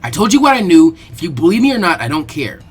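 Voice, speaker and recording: A man's voice: high voice, big pitch swings, fast talker, slightly rough, exaggerated voice. A defiant young ninja boy, acted by a grown man, brash and hot-headed. His lines come out angry and blunt in an audio storybook, with faint music underneath.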